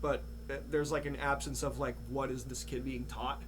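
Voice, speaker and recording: A man's voice: medium pitch, little pitch movement, normal speed. A man chatting casually as a podcast host in a rambling, laid-back, profane way. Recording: clear hum and background noise.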